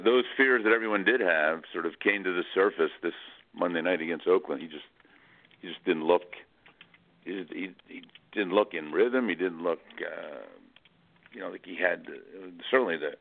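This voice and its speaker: A man talking sports casually as a radio guest, halting and often repeating words as he restarts. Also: low-pitched, gravelly, narrow, tinny audio.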